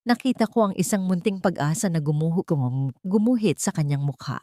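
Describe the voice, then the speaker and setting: A woman reads a dramatic first-person story. Her voice sits fairly low, and the recording is dry and close, with very little room sound. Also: animated, brisk, warm tone, no echo. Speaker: story narrator.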